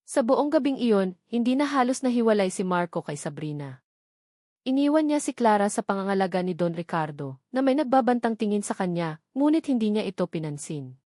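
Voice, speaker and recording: A woman's voice: medium pitch, lively intonation, brisk, a little mellow, no echo, clean studio sound. A woman with a Filipino accent narrating a scripted romance story in a dramatic style.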